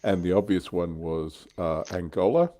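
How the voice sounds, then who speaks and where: A man with a low voice chats informally as a livestream co-host. He speaks conversationally, relaying comments and introducing what comes next. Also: moderate pace, dark tone. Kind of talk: casual chat, reading viewer comments.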